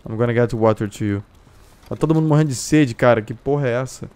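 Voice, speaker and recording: Man, Brazilian accent, smooth resonant voice, playful teasing, mixed English and Portuguese, game chat. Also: mid-range voice, rapid-fire, a little mellow. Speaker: streamer. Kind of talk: joking multiplayer game chat.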